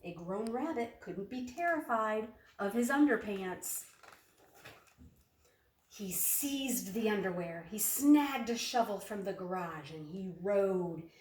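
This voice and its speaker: A woman reading a children's picture book aloud in an expressive, dramatic way, putting questions to young listeners. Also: medium pitch, slow pace, distant mic, slight background noise. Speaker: storybook reader. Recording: roomy echo, off the mic.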